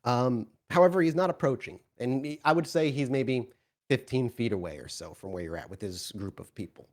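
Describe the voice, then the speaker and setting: A man improvising tabletop game narration as game master, in a halting, descriptive storytelling manner. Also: mid-range voice, darkish tone.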